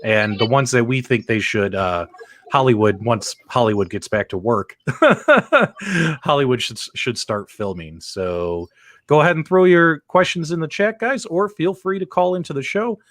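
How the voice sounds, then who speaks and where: A man's voice: mid-range voice, lively intonation, unhurried, fairly bright tone, dry recording. A man hosting a casual livestream show, chatting and explaining things to co-hosts and viewers.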